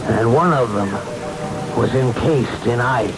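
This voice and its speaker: An actor playing a haunted best man who recounts a ghost story gravely and suspensefully in an old-time radio drama. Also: mid-range voice, slow, over loud music, rough recording.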